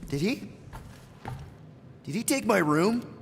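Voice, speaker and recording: A man's voice: sits high, big pitch swings, slow pace, vocal fry, a little hiss or hum, exaggerated voice. A man playing a wisecracking young space adventurer in a video game, with a casual, glib, exasperated manner. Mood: bewildered. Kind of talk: game cutscene voice acting.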